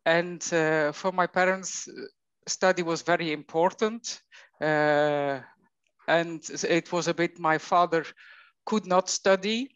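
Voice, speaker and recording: A middle-aged Belgian woman with a Flemish accent, speaking English as a coach in a conversational interview, slow and thoughtful with frequent fillers. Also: lowish voice, steady tone, smooth, no echo, highs cut off. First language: Dutch.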